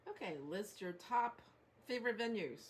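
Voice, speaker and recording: A woman's voice: mid-range voice, expressive, moderate pace. A woman asking short interview questions from a little way off the microphone. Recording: distant voice with background noise.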